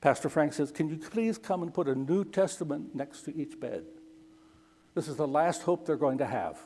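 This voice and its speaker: A middle-aged man speaks in a fairly high voice, telling mission stories in a folksy way and checking in with "okay?" again and again. His voice carries the long reverb of a big church hall. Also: lively intonation, moderate pace, darkish tone. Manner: folksy, keeps asking "okay?". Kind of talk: Sunday school talk.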